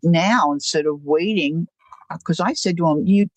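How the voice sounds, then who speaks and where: A woman's voice: on the low side, moderate pace, no echo. An older woman hosting a livestream, chatting casually and rambling through a story. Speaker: livestream host. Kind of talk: casual chat.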